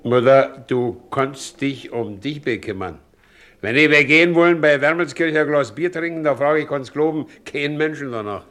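Actor as a blunt Silesian carter, ageing and stubborn. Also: male voice, a little high, moderate pace, fairly bright tone, lightly acted. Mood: firm, gruff. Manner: blunt, homely dialect talk. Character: stubborn, plain-spoken ageing carter, head of his household. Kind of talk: stage-style German radio drama acting.

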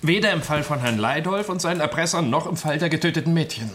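An actor playing an earnest, polite and troubled nineteenth-century investigator in an audio drama. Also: male voice, on the high side, animated, quick pace, a bit bright, slight background noise. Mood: frustrated.

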